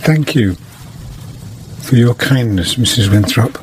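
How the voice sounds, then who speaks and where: An actor playing a reclusive, polite weaver in a radio drama, hesitant and reserved, saying little. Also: male voice, mid-range voice, animated, slight background noise. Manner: halting, reserved, few words.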